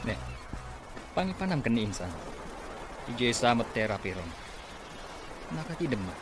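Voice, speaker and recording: A man's voice: medium pitch, big pitch swings, normal speed, music in the background, lightly acted. A man with an Ilocano accent playing an impatient schemer in a radio comedy drama. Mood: scheming. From Philippines. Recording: clearly noisy background ambience.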